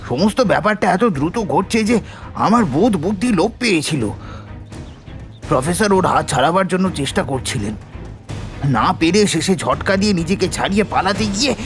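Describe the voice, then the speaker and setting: A nervous Bengali witness in an audio drama, his highish voice full of creak. He confesses haltingly and pleadingly, badly shaken, over soft music.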